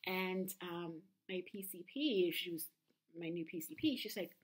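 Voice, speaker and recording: A woman in her thirties talks candidly to her camera at home, pausing often with ums and restarting sentences. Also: fairly low pitch, expressive, breathy edge. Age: 30s. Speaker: vlogger. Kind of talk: personal confessional vlog.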